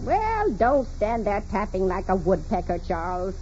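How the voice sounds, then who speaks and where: A woman's voice: medium pitch, very animated, a little slow. An actress playing a despotic, irascible old invalid woman of wealth in a 1940s radio drama, imperious, suspicious and sarcastic. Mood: bitter, suspicious. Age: older. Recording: muffled, noisy 1940s radio recording.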